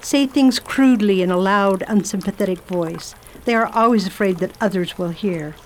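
A woman playing a formidable, brisk spinster travel writer with a crisp, commanding manner in an amateur radio drama. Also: mid-range voice, darkish tone, slight background noise, close to an everyday voice. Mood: bossy.

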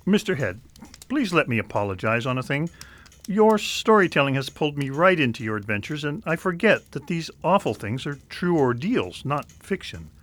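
A man playing an earnest Victorian gentleman. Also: a little high, big pitch swings, normal speed. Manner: earnest, apologetic, formally polite. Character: earnest young Victorian gentleman, polite and eager. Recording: noisy night ambience with crickets.

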